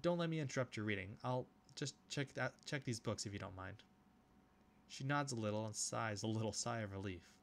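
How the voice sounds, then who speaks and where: A man's voice: on the low side, a little fast, slight background noise. A man casually reading a visual novel aloud and commenting as he plays, often restarting phrases. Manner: casual, with fillers and repeated restarts. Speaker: gaming YouTuber.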